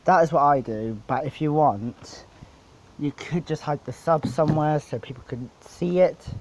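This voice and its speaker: A man giving a casual, unscripted equipment walkthrough as a DJ, rambling and halting, with informal, blunt wording. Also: fairly high pitch, darkish tone, a little hiss or hum, poor audio. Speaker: DJ presenting his PA setup.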